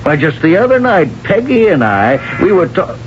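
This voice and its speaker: An actor playing a jovial but evasive middle-aged construction boss who blusters and stammers through fillers, in a 1970s radio drama. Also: male voice, high voice, sing-song, moderate pace. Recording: dull 1970s radio broadcast with faint hiss.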